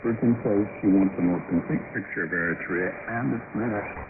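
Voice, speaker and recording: A man's voice: on the low side, a little fast, phone-quality sound, background noise. A man narrating a scripted radio news report as a reporter, heard over a shortwave broadcast.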